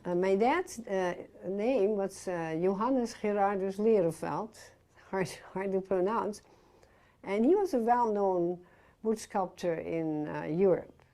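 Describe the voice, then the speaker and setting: An older woman with a thick Dutch accent speaks in a halting way, pausing on fillers. Her dark, mellow voice moves very slowly. A faint noise sits under the recording. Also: medium pitch. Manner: halting, slow speech with fillers.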